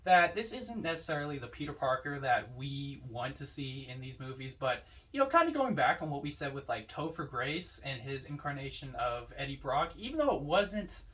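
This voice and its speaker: A man with a slightly high voice speaks as a podcast co-host in casual film discussion. He sounds a little distant from the microphone and talks conversationally, often leaning on "you know". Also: fairly bright tone. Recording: distant from the mic.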